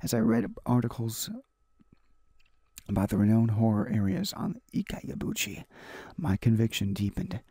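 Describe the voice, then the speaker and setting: A man with a mellow, creaky voice reads a visual novel aloud as a gaming host. His delivery is halting, with stumbles and repeated phrases. The sound is close and dry. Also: lowish voice, expressive, dry recording. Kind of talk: reading a visual novel aloud for a let's play.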